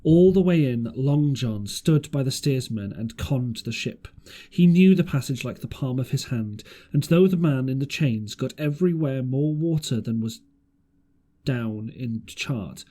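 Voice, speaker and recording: A man narrates an adventure story as an amateur audiobook reader. His medium-pitched voice rises and falls widely across each sentence. He now and then trips over a word in the scripted prose. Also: animated, measured pace, mellow.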